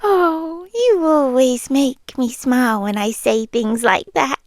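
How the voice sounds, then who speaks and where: A British woman playing an ancient, possessive yet tender female creature in an intimate ASMR roleplay, soft and breathy, often slipping into whispers. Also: high voice, no room sound, clean studio sound. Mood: teasing, fond. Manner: slow, lingering, pausing between phrases. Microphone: close ASMR microphone, dry.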